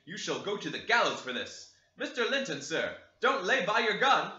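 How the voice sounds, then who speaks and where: A man voicing a rough male servant who shouts in alarm, in a dull echoey recording. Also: high voice, big pitch swings, normal speed, voice pushed up, heavily acted. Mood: alarmed, blustering. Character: blustering male manservant, loud and rough.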